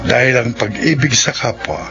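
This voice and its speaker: A man's voice: medium pitch, expressive, moderate pace. A man announcing a radio drama in formal scripted narration, reading a moral and credits. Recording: dull radio recording under loud music.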